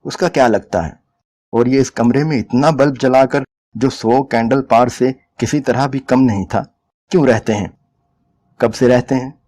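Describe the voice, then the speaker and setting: A man's voice: mid-range voice, unhurried, a little mellow. A man reading a short story aloud as a dramatic, scripted narration.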